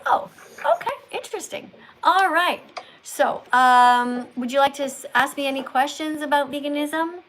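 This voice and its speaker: A woman with a fairly high voice hosts a live call-in chat. She speaks like someone giving a lesson, in short emphatic statements, and presses with pointed questions. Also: moderate pace, fairly bright tone, a little hiss or hum, rough recording. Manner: probing, presses callers with follow-up questions. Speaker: livestream host.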